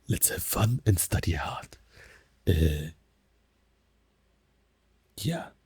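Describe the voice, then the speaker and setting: Man, German accent, deep voice, slow soft whisper, dry close binaural sound. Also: slightly rough, no room sound. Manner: soft whispering, gentle rambling. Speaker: ASMR creator teaching German. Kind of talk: ASMR roleplay lesson. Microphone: binaural mic.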